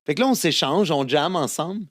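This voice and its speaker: A man's voice: a little high, expressive, brisk, a bit bright, dry recording. Québécois guy in his 50s, italian first language, rambly storyteller w lots of euhs, dry sound. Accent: Québécois French. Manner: chatty, many fillers and restarts. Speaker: guest singer-songwriter. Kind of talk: casual storytelling interview.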